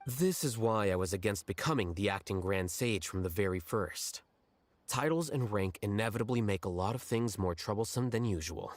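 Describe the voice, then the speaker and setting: A man playing an aloof, blunt scholar-official in a video game, speaking in a dry, measured deadpan. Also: on the low side, lightly acted. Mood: detached, explaining.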